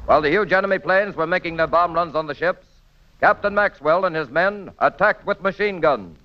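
High-pitched American radio announcer man. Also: fairly quick. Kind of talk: 1940s radio scripted announcement. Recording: dull vintage radio broadcast.

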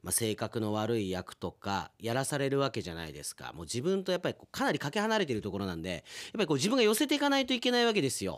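A man's voice: medium pitch, fairly bright tone, no echo, clean studio sound. A man hosting a radio show, chatting casually and playfully like an announcer, with conversational asides.

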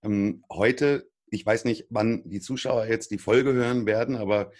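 A man's voice: on the low side, fairly even intonation, a little slow, a little mellow. A man hosting a podcast interview, introducing his guest with upbeat enthusiasm. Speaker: podcast host.

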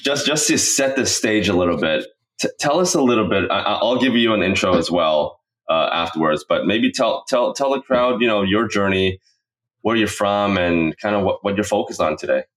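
A man's voice: lowish voice, animated, normal speed, fairly bright tone. A man hosting a podcast in an informal, enthusiastic way, talking straight to his listeners. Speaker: podcast host. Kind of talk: upbeat podcast intro and casual interview.

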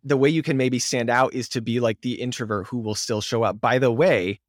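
Casting note: young male guest, animated and chatty, middle pitch, dry close mic. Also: brisk, no room sound. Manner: animated and excitable, lots of "like" fillers and laughs. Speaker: podcast guest. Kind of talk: casual conversational interview.

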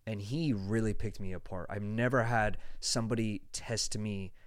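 A young man in his late twenties hosts a casual podcast chat. His voice sits a bit low, and the sound is dry and close. He talks earnestly and rambles, often restarting his sentences. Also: fairly even intonation, normal speed, dry recording. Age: 20s. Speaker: podcast host.

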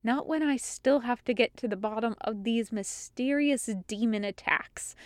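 Woman narrator as stern female guard captain, scolding, indignant, forceful, fantasy audiobook, dry close sound. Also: a little high, normal speed, no echo, close to an everyday voice. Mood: commanding. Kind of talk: audiobook character acting.

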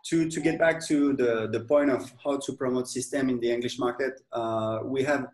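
A man's voice: medium pitch, steady tone, normal speed, darkish tone. French-accented man, echoey room. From France. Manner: hesitant, many fillers and restarts. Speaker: software company owner. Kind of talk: casual interview.

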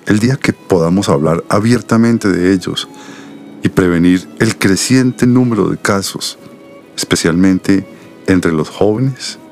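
A middle-aged Colombian doctor, a protective father with a very deep voice. He speaks in a measured, confiding and serious way, as in a radio soap, over faint music. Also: a little mellow. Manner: measured, solemn, articulate. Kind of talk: radio soap opera acting.

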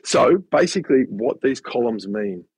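A man's voice: mid-range voice, expressive, normal speed, darkish tone, slightly rough, no echo. A man on a casual podcast who mentors students and athletes and explains things steadily, often restarting his sentences.

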